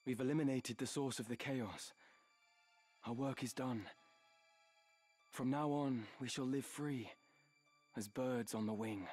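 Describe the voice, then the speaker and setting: A man's voice: medium pitch, steady tone, a little slow, long pauses, darkish tone, faint music behind, lightly acted. Game hero, male actor: resolute young outcast, middle register, earnest formal lines, faint score behind. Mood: calm resolve. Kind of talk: scripted game drama.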